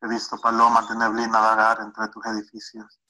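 A man's voice: on the low side, monotone, moderate pace, bright, forceful tone, rough recording. A man reading his own poem aloud, played back as a recording. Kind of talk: poetry reading.

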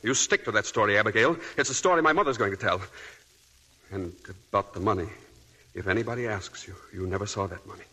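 An actor playing a desperate, guilty husband in a 1940s radio drama. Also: male voice, lowish voice, fast, pushed and bright. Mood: urgent, desperate. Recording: reverberant old 1940s radio broadcast.

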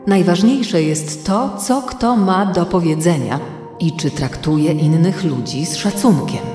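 A low, slow, echoing protector. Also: female voice, lively intonation, dark tone. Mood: solemn, reassuring. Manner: measured, solemn, reassuring. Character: calm, benevolent female protector reassuring children. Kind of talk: children's audio drama acting. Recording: reverberant hall with music behind.

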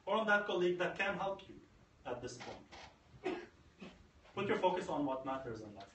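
Conference speaker, male, 30s, emphatic, slightly high voice, recorded from afar in a roomy hall. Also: big pitch swings, moderate pace, fairly bright tone. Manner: emphatic, repeating phrases for effect. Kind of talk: motivational tech talk. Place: conference room. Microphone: far camera. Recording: echoey room, far from camera, faint noise.

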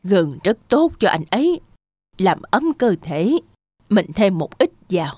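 A woman playing a kindly, excitable old grandmother in a children's cartoon, speaking expressively. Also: mid-range voice, unhurried, husky, dry recording, studio quality. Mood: thoughtful. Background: soft children's music.

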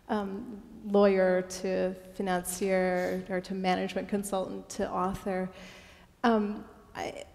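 A woman's voice: mid-range voice, a little mellow. A woman interviewing on stage, asking long, winding questions. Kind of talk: onstage interview. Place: large church hall, on stage. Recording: long reverb of a large hall.

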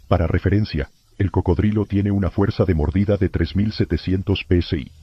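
Solemn male emergency announcer played by a man, low and raspy, formal horror-broadcast warnings, lo-fi sound. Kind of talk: scripted narration, analog horror warning broadcast.

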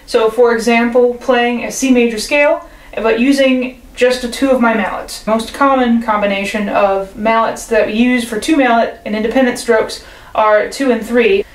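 A young woman teaches with a calm, patient delivery, her voice sitting a little high. She's filmed in her living room by a camera a few feet away, and the room adds a noticeable echo. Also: slight background noise. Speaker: percussion teacher. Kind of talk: instructional demonstration.

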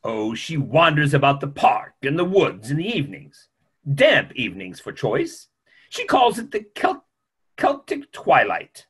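Grumpy, opinionated older male doctor played by a man, theatrical, emphatic, very animated, slightly high pitch. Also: measured pace, husky, exaggerated voice. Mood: dry disapproval. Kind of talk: theatrical play reading. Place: small home room on a video call.

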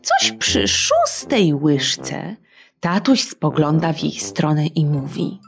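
Lively woman reading a children's story. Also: low voice, slow, gravelly, soft background music. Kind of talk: expressive children's audiobook narration.

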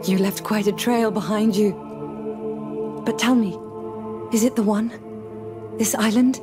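A woman's voice: medium pitch, steady tone, a little slow, over music, close to an everyday voice. A woman playing a caring, composed, thoughtful mother in a video game scene. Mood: concerned, curious. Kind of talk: video game cutscene acting.

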